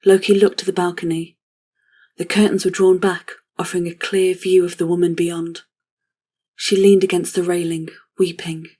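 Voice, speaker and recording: A woman reading scripted fantasy narration for an audio drama in a measured, solemn way. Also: lowish voice, flat delivery, mellow, breathy edge.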